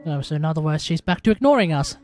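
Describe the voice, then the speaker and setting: A man reacting casually to a game as he streams, halting and thinking aloud. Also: on the high side, animated, darkish tone. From Australia. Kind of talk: casual live gameplay reaction. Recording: faint game music underneath. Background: game music.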